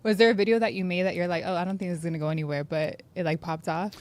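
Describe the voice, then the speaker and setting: A woman's voice: lowish voice, steady tone, rapid-fire, darkish tone, no echo. A woman hosting a casual podcast interview, speaking in slang and affirming her guest with short reactions. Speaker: podcast host.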